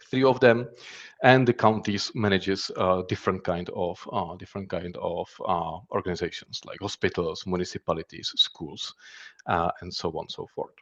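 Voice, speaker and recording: A man's voice: low voice, dull audio. A man from the Czech Republic with a Czech accent gives a conference presentation in a hesitant manner, often repeating words and phrases. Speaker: threat intelligence specialist giving a conference talk.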